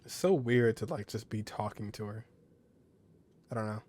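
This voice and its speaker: A man's voice: lowish voice, moderate pace, a little mellow. A man giving casual, off-the-cuff commentary as he streams a game and reads dialogue choices aloud. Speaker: gaming streamer.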